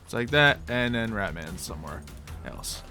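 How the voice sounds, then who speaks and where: Bright-voiced man running a tabletop game. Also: on the low side, sing-song, normal speed, soft background music. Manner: informal, conversational. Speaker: game master. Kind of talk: casual narration of a tabletop game.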